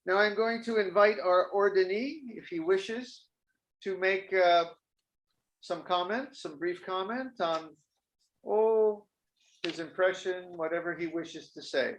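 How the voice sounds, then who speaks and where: A man leading a Zen ordination ceremony, speaking gently and formally with a few halting fillers. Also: high-pitched. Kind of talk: ceremonial officiating speech. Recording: echoey and distant with faint noise.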